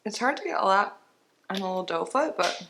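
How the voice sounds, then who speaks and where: A woman's voice: medium pitch, expressive, brisk. A woman chatting casually through a beauty box unboxing, sounding stuffy and congested, rambling along with small laughs. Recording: roomy with faint background noise.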